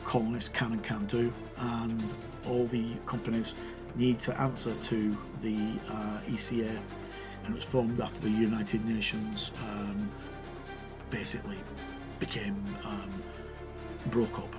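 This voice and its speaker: A man giving a casual, unscripted review of a tabletop game book, haltingly thinking aloud and correcting himself as he goes. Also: on the low side, level tone, over music.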